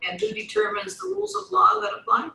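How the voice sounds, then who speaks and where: A woman's voice: extremely high pitch, fast, vocal fry, bright, forceful tone. Woman lecturing in an echoey classroom. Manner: questions students in turn. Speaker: law instructor. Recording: echoey room, distant mic, faint noise.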